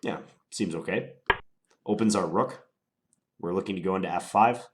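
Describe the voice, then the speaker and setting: A man with a stuffed-up voice from a cold, thinking aloud through his chess moves in a deliberate, musing way. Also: sits low, background noise. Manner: deliberate, musing, unhurried analysis. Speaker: chess player commentating his own game. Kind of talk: thinking aloud while playing.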